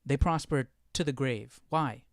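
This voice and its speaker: A man's voice: mid-range voice, a little slow, no room sound, studio quality. A man hosting a casual live Bible study, explaining as he thinks aloud and often posing questions. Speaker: livestream Bible study host.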